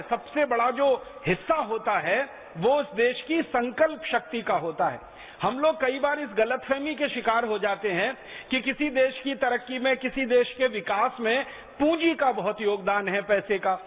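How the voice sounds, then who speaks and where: A man giving a lecture speech, driving his points home with emphatic, repeated rhetorical lists. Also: very high-pitched. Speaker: public speaker. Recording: echoey hall on a tinny phone-like line.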